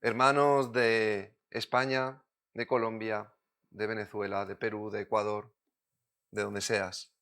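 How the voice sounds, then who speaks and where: Man, Madrid Spanish accent, preacher, sermon, emphatic, loud then hushed. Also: lowish voice, little pitch movement, slow pace, clean tone. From Spain. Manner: emphatic, shifts between loud urgent points and quiet intimate asides.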